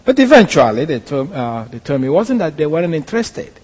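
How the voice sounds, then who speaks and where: A Nigerian-accented pastor recounts events to his congregation, his voice sitting a little high for a man. He tells it haltingly, full of "uh"s and restarts. The sound carries a long reverb, as if heard in a large hall. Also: very animated, darkish tone, slightly rough. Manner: halting storytelling with frequent fillers and restarts. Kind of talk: sermon testimony.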